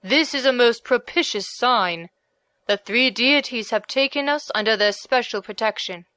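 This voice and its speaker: A woman's voice: a little high, normal speed, sharp tone, clear, resonant tone, dry recording. A woman voicing a brave, noble male warrior leader in an audiobook, with an earnest, commanding delivery. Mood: confident, reverent. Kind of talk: audiobook character reading.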